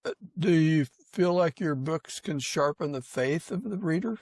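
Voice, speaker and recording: Slow-paced male podcast host, higher-pitched. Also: expressive, a little mellow, no echo. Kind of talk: conversational interview questions.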